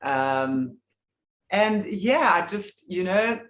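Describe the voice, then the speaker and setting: A woman with a deep voice hosting a casual, heartfelt chat, gushing and appreciative in her words. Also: lively intonation, extremely slow, a bit bright, reverberant. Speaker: host.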